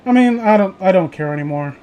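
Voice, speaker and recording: A man's voice: high-pitched, animated, fast, lo-fi sound, a little hiss or hum. A man chatting casually as a host, rambling, blunt and opinionated, with frequent swearing. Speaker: host of a casual group chat.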